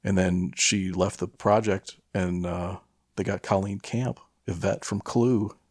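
A man co-hosting a podcast, chatting casually about films in a gravelly voice, rambling and swearing freely. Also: low-pitched, fairly even intonation, a little slow, darkish tone, no room sound, studio quality. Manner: profane, rambling. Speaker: podcast co-host.

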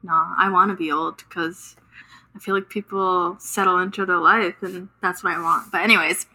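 A woman's voice: fairly low pitch, fairly even intonation, normal speed, sharp tone, breathy edge, poor audio. A woman in her late twenties chatting casually as a guest on a podcast. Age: late 20s. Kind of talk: casual chat.

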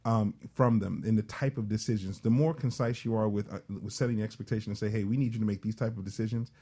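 A man's voice: on the low side, steady tone, fast, mellow. A man speaking as a panel guest, explaining in business jargon in a conversational discussion. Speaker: panel guest advising on nonprofit management.

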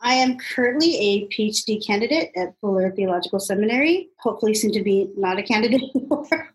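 A woman's voice: mid-range voice, animated, fast talker, poor audio. A woman in her forties talks as a podcast guest. Her manner is casual and chatty, and she laughs easily. Her voice sits in a middle range. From Los Angeles, United States. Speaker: guest, doctoral candidate and youth minister. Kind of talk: casual conversation.

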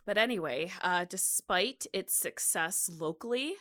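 A woman's voice: on the low side, lively intonation, measured pace, a bit bright. A woman co-hosting a podcast, talking casually and slangily like a storyteller among friends. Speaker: podcast co-host. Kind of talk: casual, chatty podcast discussion.

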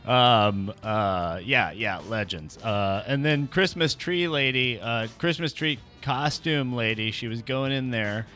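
Halting male podcast co-host over music. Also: medium pitch, sharp tone. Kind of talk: casual comedic banter.

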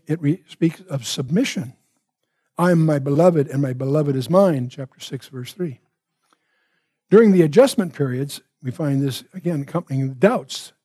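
An older man gives an expository Bible lesson. His voice is husky and mellow, pitched a little high for a man. He explains and restates in a measured way, recorded close and dry. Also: no echo. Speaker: Bible teacher. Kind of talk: expository Bible teaching with verse readings.

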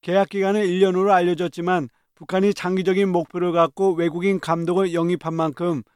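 Reporter guy reading the news, high smooth voice, dry booth sound. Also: level tone, fast talker, darkish tone, dry recording. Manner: crisp, formal news delivery.

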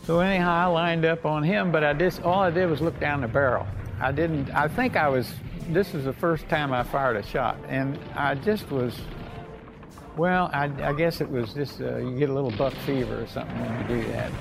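Old american guy telling war memories, creaky gravelly voice, folksy, music underneath. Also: mid-range voice, a little fast. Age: older. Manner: folksy, plain-spoken. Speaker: World War II veteran recounting memories. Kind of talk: unscripted oral-history reminiscence. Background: music bed.

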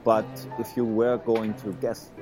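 A man acting an uneasy Prussian immigrant tradesman in his late thirties, speaking with a German accent in halting short sentences in a period drama, over music. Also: lowish voice, level tone, close to an everyday voice. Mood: guarded, nervous.

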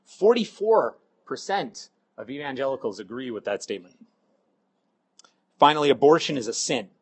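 A middle-aged man with a cold, sounding hoarse, giving a halting sermon full of ums and uhs. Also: medium pitch, big pitch swings. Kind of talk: sermon with scripture reading.

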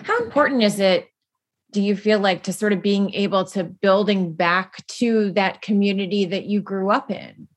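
A woman's voice: medium pitch, normal speed. A woman hosting a TV interview, delivering a polished scripted intro and asking friendly questions. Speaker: TV host.

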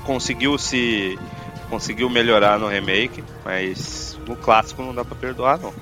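A man's voice: mid-range voice, moderate pace, over music. A Brazilian man chatting casually on a podcast, repeating short phrases for emphasis. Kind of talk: casual chat.

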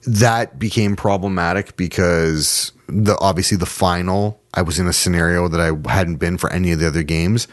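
A man's voice: low voice, steady tone, normal speed, few pauses, dry recording, studio quality. A Canadian man chatting casually as a podcast co-host. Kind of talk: casual group chat about soccer.

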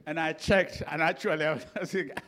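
A man's voice: on the high side, steady tone, moderate pace, fairly bright tone. An older man with a Ghanaian accent, telling a chatty anecdote in a sermon as a preacher. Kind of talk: sermon storytelling.

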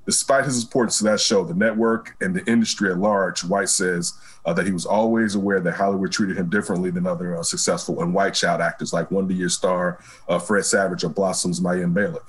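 A man hosting a casual pop culture chat, animated and laughing often, reading news aloud with lots of fillers. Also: sits low, fairly quick, few pauses, breathy edge. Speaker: talk show host.

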